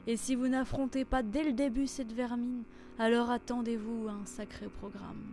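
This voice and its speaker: A woman reciting a poem in a measured, theatrical and solemn manner. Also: a little high, steady tone, dark tone, a little hiss or hum. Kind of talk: dramatic poetry recitation. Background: instrumental music.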